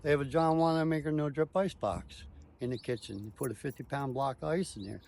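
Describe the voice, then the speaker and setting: A man's voice: medium pitch, fairly quick, creaky, a little mellow, slight background noise. A man speaking as a tour guide, explaining things step by step in short, emphatic declarative phrases.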